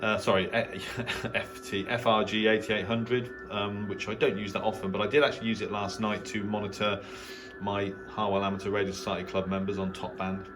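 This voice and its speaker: A man's voice: lowish voice, level tone, normal speed, music in the background. A middle-aged man with an English accent gives a casual, unscripted tour in an understated, hesitant, rambling way. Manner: hesitant, understated, rambling delivery with trailing asides. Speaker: amateur radio hobbyist giving a tour. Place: indoor home radio shack.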